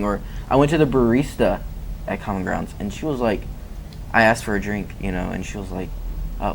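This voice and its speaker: A man talks casually as a musician guest, his voice sitting a little low. He rambles and leans on fillers like "like" and "you know." A faint hiss sits under the recording. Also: gravelly, low-quality recording. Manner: chatty, rambling, many fillers. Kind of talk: casual interview chat.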